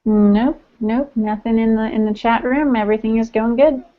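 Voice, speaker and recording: A woman's voice: on the high side, fairly even intonation, normal speed. A woman co-hosting a podcast in a casual chatting style, relaying questions from listeners. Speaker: podcast co-host reading chat questions. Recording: dull, lo-fi podcast audio.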